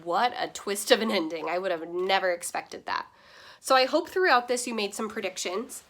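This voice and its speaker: A woman reading a children's story aloud in the style of a teacher, with dramatic pauses and stressed words. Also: mid-range voice, animated, fairly bright tone.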